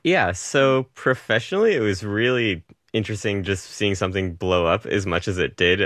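A man's voice: sits low, pushed and bright, no room sound, studio quality. A man from Rhode Island, an illustrator interviewed on radio, speaking in an easygoing, enthusiastic way with little laughs. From Rhode Island, New England.

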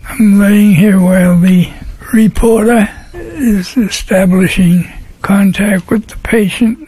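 A man's voice: high-pitched, takes it slowly, warm tone, rough recording. An elderly man speaking playfully and wryly, answering questions into a recorder. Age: older.

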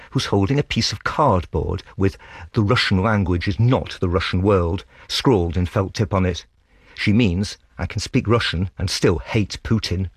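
Deep husky guy reading a foreign correspondent piece for radio, measured storytelling, dry studio sound. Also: dry recording. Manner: measured, literary storytelling delivery. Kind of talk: scripted radio dispatch, first-person narration.

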